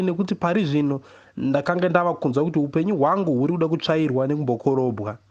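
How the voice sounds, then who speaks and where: A man with a Zimbabwean accent narrates literary prose. His voice sits somewhat higher than most men's. The delivery is that of a poet reading a book aloud. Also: lo-fi sound. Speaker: audiobook reader, poet.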